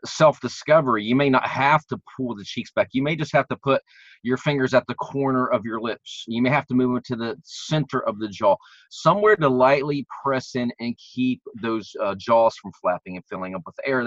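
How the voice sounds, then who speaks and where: A man's voice: medium pitch, no echo. Enthusiastic male vocal coach, dry lo-fi sound. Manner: enthusiastic, repeats words while thinking. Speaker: vocal coach, podcast co-host. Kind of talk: casual teaching chat.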